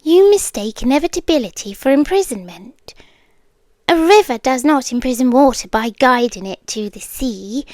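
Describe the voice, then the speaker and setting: A British woman playing an obsessive female monster in an intimate ASMR roleplay, speaking in measured, formal phrases that often drop into whispers. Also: high voice, expressive, a little mellow, no echo. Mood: quietly menacing. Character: obsessive yandere female monster with tail, claws and venom.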